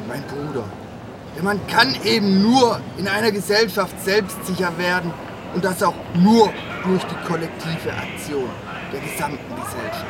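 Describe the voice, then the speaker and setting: A man acts a fervent nineteenth-century revolutionary in a homemade radio drama. His voice is high for a man, and he declaims solemnly in slogans. Clear outdoor traffic noise sits behind him. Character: fervent 19th-century anarchist revolutionary rallying his comrade. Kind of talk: amateur radio play, declamatory speech. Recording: noisy outdoor street ambience.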